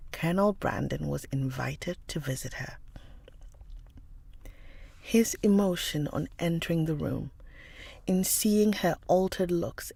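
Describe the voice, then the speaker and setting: Nasal woman with a cold, unhurried. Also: sits low, darkish tone, breathy edge, no room sound. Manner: chatty, calls viewers darling. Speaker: livestream book reader. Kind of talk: chatting with viewers, then reading a novel aloud.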